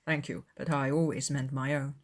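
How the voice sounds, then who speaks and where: A woman voicing a proud, aloof young gentleman in a period audiobook, formal and unconcerned in manner. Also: extremely low pitch, level tone, normal speed, pitched below the performer's own voice. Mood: cool, curt.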